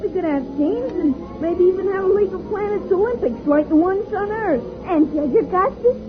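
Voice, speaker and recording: A woman's voice: very high voice, expressive, moderate pace. A woman voicing an eager, wide-eyed child in a vintage science-fiction radio serial, full of exclamations. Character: excitable, eager Earth child on space adventure. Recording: tinny, very noisy old radio recording.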